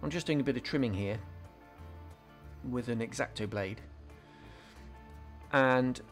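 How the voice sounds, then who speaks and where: Man explaining a model build, unhurried. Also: medium pitch, fairly bright tone, faint music behind. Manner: casual, explanatory, frequent ums and restarts. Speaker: scale modelling channel host. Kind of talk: relaxed hobby tutorial voice-over.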